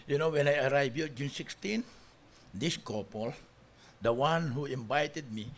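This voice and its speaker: A middle-aged man, preaching informally as a guest pastor in a rambling, anecdotal way. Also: medium pitch. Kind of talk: informal sermon opening with anecdotes. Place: small church hall, at pulpit. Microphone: pulpit microphone.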